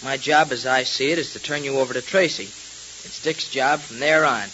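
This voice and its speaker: Young male actor as earnest, forceful boy sidekick. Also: mid-range voice, moderate pace, natural voice. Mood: firm, earnest. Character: earnest, law-abiding brave boy sidekick. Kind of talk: 1930s radio acting. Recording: hissy, muffled 1930s radio transcription.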